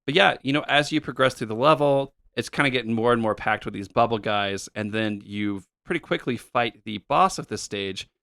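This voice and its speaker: A man co-hosting a podcast, casually walking through retro game levels with a relaxed, explanatory manner and plenty of fillers. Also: medium pitch, moderate pace, vocal fry, hardly any pauses, no echo, clean studio sound. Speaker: podcast co-host. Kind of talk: casual chat about retro games.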